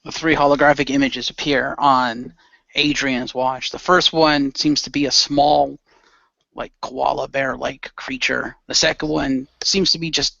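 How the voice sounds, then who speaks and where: A man's voice: medium pitch, gravelly, sharp tone, no room sound, highs cut off. A man narrating an improvised amateur radio play, describing characters and action.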